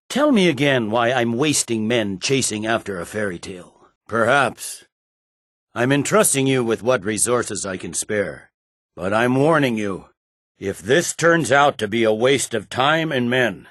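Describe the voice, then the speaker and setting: A man's voice: medium pitch, a bit bright, dry recording, clean studio sound. A man playing a stern, weary imperial general in a video game, speaking with clipped, brusque, formal authority. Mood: exasperated, then stern, businesslike. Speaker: military commander. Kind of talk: scripted video game dialogue.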